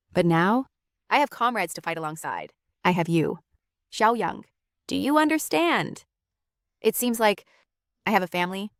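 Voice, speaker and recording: A woman's voice: a little high, dry recording, clean studio sound. A woman voicing a weary but commanding former war general in an English anime dub.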